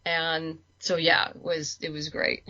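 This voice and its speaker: Bright-voiced woman on muffled audio. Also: deep voice, completely flat delivery, takes it slowly, no room sound. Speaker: interview guest, comics creator. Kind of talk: casual chat.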